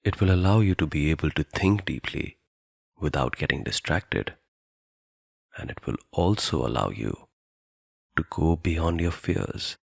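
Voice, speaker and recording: A man guiding a meditation in a soothing, deliberate manner, leaving long pauses between phrases. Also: a bass voice, expressive, gravelly, breathy edge, no room sound, studio quality. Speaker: meditation guide. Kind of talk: guided meditation narration.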